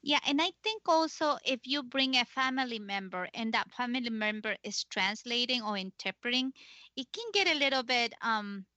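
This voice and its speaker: A middle-aged woman talks as a show host, putting questions to her guest in a conversational way. Her voice is very bright and fairly high, recorded close and dry with a slightly dull sound. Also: moderate pace, no room sound. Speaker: talk show host and interviewer. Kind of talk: conversational interview.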